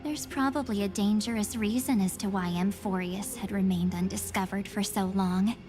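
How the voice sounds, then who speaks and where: A woman voicing an enigmatic, cold young woman in a video game, speaking in measured, breathy half-whispers. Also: medium pitch, fairly even intonation, a little mellow, lightly acted. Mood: guarded, cryptic. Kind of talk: scripted video game acting. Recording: music behind the voice.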